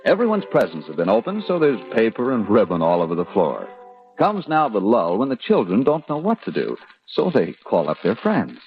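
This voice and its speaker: Low-voiced vintage radio announcer, male. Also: huge pitch swings. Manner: formal, measured phrasing. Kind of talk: 1940s radio announcing, scripted narration. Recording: muffled 1940s radio recording with music.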